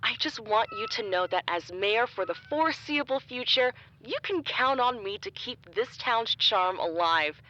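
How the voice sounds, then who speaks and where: Sugary, high-voiced small-town mayor. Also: female voice, animated, normal speed, hardly any pauses, sharp tone, highs cut off. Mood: folksy speechifying. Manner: gushing, coy politician delivery. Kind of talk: 1980s radio interview in an audio comedy.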